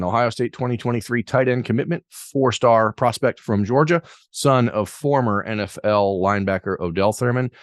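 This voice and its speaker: A man's voice: fairly low pitch, dry recording. Man, podcast host, talkative, self-deprecating, dry close sound. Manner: talkative, self-deprecating, long rambling questions. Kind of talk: interview podcast.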